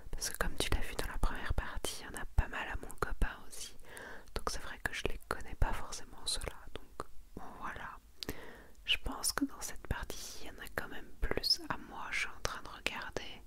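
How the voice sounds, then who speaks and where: A woman whispering in a breathy, intimate ASMR style, casually presenting her collection to one listener. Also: normal speed. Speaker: ASMR video creator presenting a manga collection.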